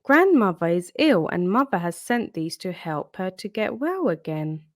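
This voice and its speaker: A woman's voice: on the low side, sing-song, moderate pace, no echo. A woman voicing an innocent, trusting and polite little girl in a children's storybook. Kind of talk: storybook read-aloud character.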